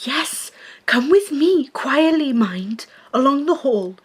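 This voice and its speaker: A woman's voice: high-pitched, lively intonation, slow. An actress playing an anxious, suspicious young woman, proper and earnest, in an old-style radio play. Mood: alarmed, urgent. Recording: noisy background hiss.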